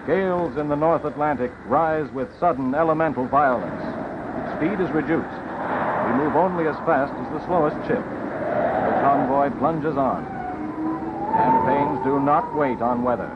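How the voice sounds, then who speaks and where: A man's voice: fairly high pitch, a little slow, heavy background noise. 1940s wartime newsreel man, dull old soundtrack. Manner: dramatic, solemn delivery. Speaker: documentary narrator.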